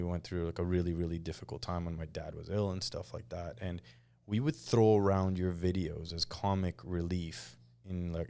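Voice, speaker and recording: A man's voice: deep voice, a little mellow, smooth. A man hosting a podcast, asking easygoing, curious questions in casual interview talk. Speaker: podcast host.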